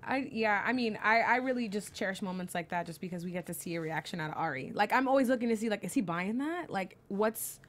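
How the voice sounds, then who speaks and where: A woman's voice: mid-range voice, expressive, fast talker, hardly any pauses, a bit bright. Easygoing woman recap co-host. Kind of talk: casual chat.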